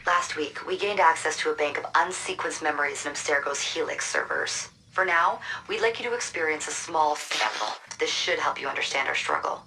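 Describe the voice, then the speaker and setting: An actress playing a secretive resistance hacker who briefs a new recruit over a link. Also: female voice, low-pitched, animated, bright, forceful tone, slight background noise, low-quality recording. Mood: urgent. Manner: brisk, urgent, conspiratorial. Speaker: mission handler.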